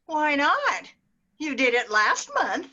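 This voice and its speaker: A woman's voice: high-pitched, sing-song, slow pace, sharp tone, lo-fi sound, full character voice. A woman reading the part of a demanding female airline passenger, talking insistently.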